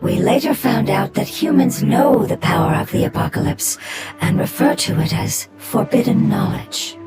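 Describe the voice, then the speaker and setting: A woman voicing an ancient, sorrowful non-human being in a video game, calm, solemn and formal. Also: fairly high pitch, normal speed. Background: game music.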